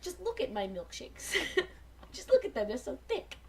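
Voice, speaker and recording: A woman joking crudely and casually with friends as she hosts a fan fiction reading, giggly and quick to slip into mock voices. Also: sits high, big pitch swings, warm tone, slight background noise. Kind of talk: casual joking group chat.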